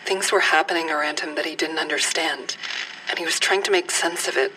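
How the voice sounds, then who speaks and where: A woman playing a grieving mother who survived a shooting, giving halting, deliberate testimony in an audio drama. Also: sits low, steady tone, far from the mic, noisy background, lightly acted. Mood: somber, reflective. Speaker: actor in a fiction podcast.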